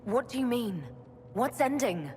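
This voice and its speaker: An actress playing an earnest, determined and caring heroine in a video game, speaking directly. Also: female voice, a little high, expressive, unhurried, a little mellow, in a large hall, a little hiss or hum. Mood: confronting. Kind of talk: video game cutscene acting.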